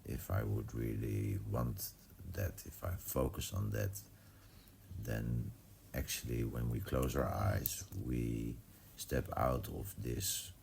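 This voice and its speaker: A man musing aloud in casual, reflective spiritual talk, with a halting delivery and a gravelly voice. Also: extremely low pitch, little pitch movement, darkish tone, slight background noise.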